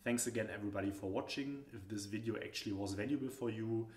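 A man's voice: fairly low pitch, quick pace. A young man from Germany with a German accent, explaining business presentation charts in a loose, tutorial style with frequent fillers, recorded on a webcam at his desk. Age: young adult. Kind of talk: screen-recorded tutorial narration. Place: indoor room at home. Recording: echoey room.

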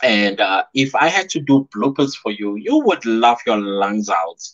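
A man's voice: fairly low pitch, a little slow. South african guy on a webcam live stream, chatty, laughs a lot, kinda muffled lo-fi sound. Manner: chatty and animated, laughs often, reads out viewer comments. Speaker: YouTuber hosting a live stream. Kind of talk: casual live-stream chat. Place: small indoor room at home. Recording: slightly dull lo-fi stream audio.

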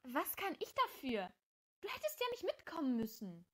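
Woman acting lively young witch, affectionate, cheeky, bright, animated, children's radio play. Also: high voice, normal speed, heavily acted. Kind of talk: children's audio drama.

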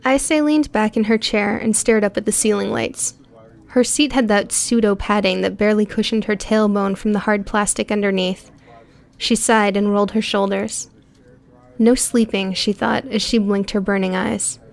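A woman reading a prose story aloud in an even, clear storytelling voice, recorded close on a studio mic. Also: medium pitch, moderate pace. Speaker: audiobook narrator. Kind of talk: scripted narration.

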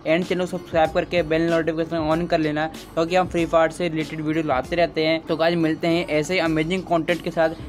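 A man's voice: a little high, little pitch movement, fast talker, hardly any pauses. A young man narrating a gaming tutorial in formulaic, repetitive step-by-step instructions, in the manner of a channel host. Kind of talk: scripted tutorial voice-over. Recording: background music under voice-over. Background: music.

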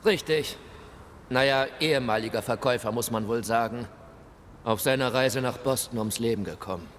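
An actor playing the embittered, polite ghost of a colonial shop clerk, with formal, theatrical delivery in a video game. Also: male voice, mid-range voice, normal speed, fairly bright tone, natural voice. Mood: aggrieved. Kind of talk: video game dialogue acting. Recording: hall reverb, noisy ambience.

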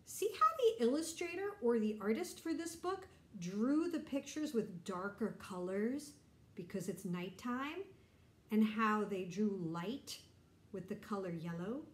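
A middle-aged woman reading a picture book aloud to children, explaining and asking simple questions in an animated way. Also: mid-range voice, measured pace, reverberant. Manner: animated, explanatory, addressing young listeners. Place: bright indoor room.

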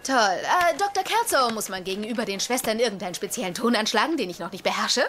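An actress playing a proud, high-strung young woman doctor in a dubbed TV sitcom, testy and defensive. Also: animated, fast talker, fairly bright tone, a little hiss or hum, natural voice. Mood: exasperated. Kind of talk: German-dubbed TV sitcom acting.

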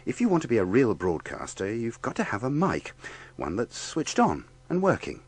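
A man's voice: medium pitch, wildly swooping pitch, moderate pace, breathy edge. A man narrating a scripted compilation with a dry, tongue-in-cheek manner. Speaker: narrator of a radio bloopers compilation.

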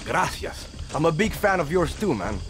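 A man's voice: on the high side, very animated, moderate pace, over music. A young man playing a cheeky, eager guerrilla fighter in a video game, speaking in a casual, wry way. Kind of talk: video game character dialogue.

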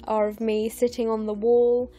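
A young English woman in her twenties, narrating her scrapbooking in a chatty, rambling way with lots of fillers, her voice croaky and tired late at night. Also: a little high, flat delivery, normal speed, warm tone. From England. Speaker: scrapbooking vlogger. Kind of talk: casual voice-over narration of a craft process. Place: home, recorded late at night.

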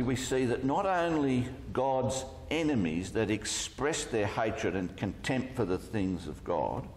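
A man preaching a sermon, deliberate and emphatic, posing rhetorical questions into a lectern microphone. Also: mid-range voice, a little mellow. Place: church hall, at a lectern. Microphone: gooseneck lectern microphone. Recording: echoey church hall with long reverb.

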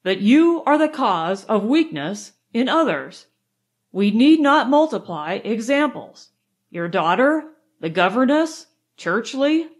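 A woman reading aloud, voicing a calm, firm male nerve doctor who lectures in a measured way. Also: a little high.

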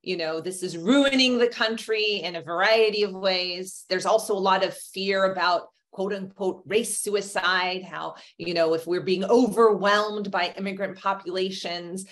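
A woman's voice: medium pitch, steady tone, unhurried, hardly any pauses, fairly bright tone. A woman in her forties explaining things as an expert panelist on a video call, often checking in with a questioning tag. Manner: checks in with "right?". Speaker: historian panelist. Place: indoor room, video call. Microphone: video call computer mic.

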